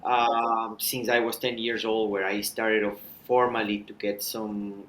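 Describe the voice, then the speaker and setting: Guy in his 30s, lowish voice, careful and thoughtful, lots of restarts, slight room hiss. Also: fairly bright tone, low-quality recording. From Ecuador. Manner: measured, with frequent restarts and filler. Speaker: mountain guide, podcast guest. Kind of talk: thoughtful conversational storytelling.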